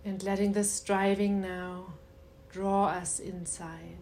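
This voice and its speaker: Very slow, even-toned woman guiding meditation. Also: medium pitch, slight background noise. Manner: soothing, unhurried, with long pauses. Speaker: meditation guide. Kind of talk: guided meditation.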